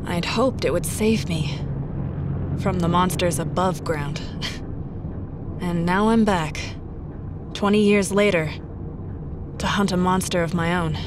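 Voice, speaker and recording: A woman's voice: fairly low pitch, takes it slowly, pauses often, background noise. An actress playing a determined woman detective, clipped and direct, who also narrates the story. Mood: brooding. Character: tough woman detective hunting a killer underground. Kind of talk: audio drama acting with narration. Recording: loud music behind.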